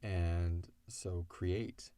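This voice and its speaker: A man's voice: low-pitched, fairly even intonation, extremely slow, mellow, clean tone, no room sound. A man talking in a casual, rambling, conversational way with lots of "like", as a show's creator answering listener questions. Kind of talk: casual Q&A discussion.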